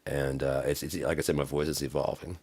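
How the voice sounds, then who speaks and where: A man's voice: rumbling low, flat delivery, a little fast, a little mellow, husky, dry recording. A man tells a rambling, profane story, laughing often. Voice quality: raggedy voice from smoking and screaming. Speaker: vlogger telling a story. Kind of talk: casual storytelling monologue.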